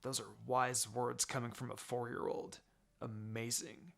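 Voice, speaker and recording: A man's voice: medium pitch, little pitch movement, measured pace, gravelly, a bit bright. A cool young ninja man, as a male narrator reads him in an anime fan story. He talks in an understated, dry way with a sarcastic edge.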